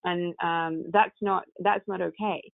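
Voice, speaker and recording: Low-pitched Russian woman, phone-like sound. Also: fairly even intonation, unhurried, vocal fry, dry recording. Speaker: tech company founder, podcast guest. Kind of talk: conversational interview storytelling. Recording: muffled narrow phone-like sound.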